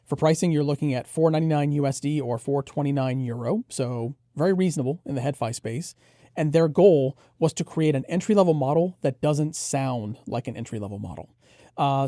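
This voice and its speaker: A man's voice: mid-range voice, dark tone, no room sound, clean studio sound. A man co-hosting a product review, explaining and giving his impressions in a conversational way. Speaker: headphone show co-host reviewer.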